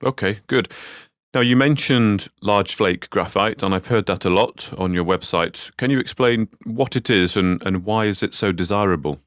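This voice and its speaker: A man's voice: on the low side, moderate pace, a bit bright, no room sound, studio quality. A man interviewing an executive, asking brief questions with small restarts. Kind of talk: business interview.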